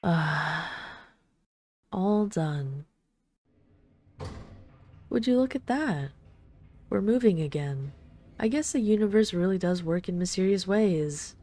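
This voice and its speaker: A woman playing an ageless vampire in an intimate audio roleplay, speaking softly and without hurry, tender and wistful with a gently condescending, commanding edge. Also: on the low side, lively intonation, a little slow, pauses often. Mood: soothing, teasing.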